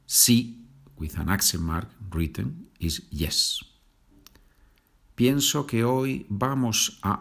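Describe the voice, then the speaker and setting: Slow, low-voiced Spanish man teaching. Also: vocal fry, husky. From Spain. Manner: patient, enunciates slowly, repeats phrases. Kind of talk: slow, repetitive language lesson.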